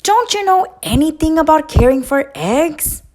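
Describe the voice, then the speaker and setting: A woman voicing a fussy, bossy male duck in a children's storybook read-aloud, huffy and quick to scold. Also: sits high, animated, darkish tone, pitched above the performer's own voice.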